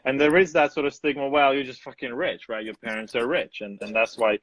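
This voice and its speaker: A man's voice: mid-range voice, fairly even intonation, fairly quick, a bit bright, no echo. A man who grew up in the former Soviet Union, hosting a casual chat, talking bluntly and unhurriedly, swearing freely. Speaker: host cinematographer. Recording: dry, slightly dull sound.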